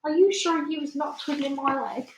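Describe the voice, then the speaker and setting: A woman voicing a very old, good-hearted male giant from a children's storybook, excitable and easily wounded, talking in muddled grammar and made-up words. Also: high voice, normal speed, slight background noise, voice pushed up, natural voice. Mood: delighted. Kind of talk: children's storybook read aloud. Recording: echoey room.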